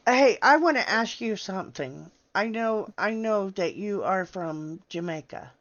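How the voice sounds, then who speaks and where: A woman's voice: mid-range voice, animated, unhurried, a bit bright, lo-fi sound. A woman hosting a casual live gaming group chat, addressing her guests courteously. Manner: frequent laughter, addresses guests courteously by title. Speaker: host of a live gaming show.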